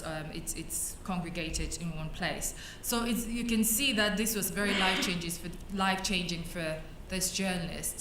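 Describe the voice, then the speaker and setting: A woman's voice: fairly low pitch, fairly bright tone, slight background noise. Woman speaking in an echoey conference hall. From Cameroon. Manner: conversational, many fillers and restarts. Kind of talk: conference talk presenting slides. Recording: echoey hall, mic at a distance.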